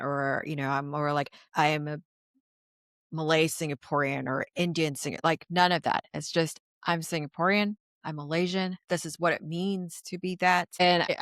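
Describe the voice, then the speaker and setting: A woman hosts a podcast interview, thoughtful and earnest as she talks. Her voice sits on the low side. The recording is dry and close, with no room sound. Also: dry recording. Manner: reflective, earnest, hopeful. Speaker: podcast host. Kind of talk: conversational interview.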